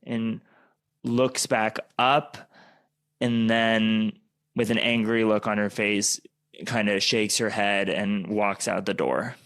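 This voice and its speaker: A man narrating an improvised radio play, haltingly piecing the scene together aloud as he goes. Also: lowish voice, a bit bright, no echo.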